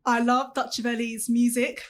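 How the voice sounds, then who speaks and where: Energetic young woman, high voice. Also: a little slow, a little hiss or hum. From West London. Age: teens. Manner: energetic, chatty, lots of "like". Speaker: singer and rapper, guest. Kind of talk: casual interview chat.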